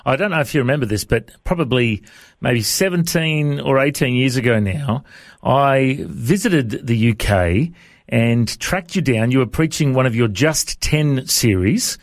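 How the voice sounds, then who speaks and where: A man's voice: mid-range voice, unhurried, dry recording, clean studio sound. A man in his forties with an Australian accent, hosting a conversational podcast interview. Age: 40s. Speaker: radio interview host.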